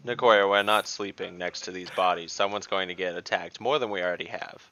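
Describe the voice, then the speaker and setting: Low, forceful-voiced man playing tabletop. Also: steady tone, a little fast, slight background noise, low-quality recording. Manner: firm, dry, argumentative. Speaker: tabletop game player. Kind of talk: casual in-game discussion.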